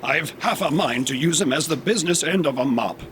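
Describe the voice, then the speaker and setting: A man's voice: fairly high pitch, expressive, fairly quick, fairly bright tone. A man playing a haughty robot who scorns humans in a sci-fi comedy audio drama, speaking with pompous, formal diction over a noisy background. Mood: scornful. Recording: clear background noise and ambience.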